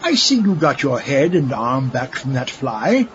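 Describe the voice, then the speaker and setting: A man's voice: medium pitch, big pitch swings, a little slow, highs cut off, slight background noise. An actor playing an eccentric, touchy male mad scientist with a theatrical, prickly manner in a comic audio drama. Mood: smug, taunting.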